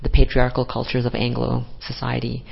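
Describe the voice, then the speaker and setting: A man speaking in a measured way at a conference panel discussion, framing a question for a panelist. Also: medium pitch, monotone, creaky. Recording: dull lo-fi conference recording.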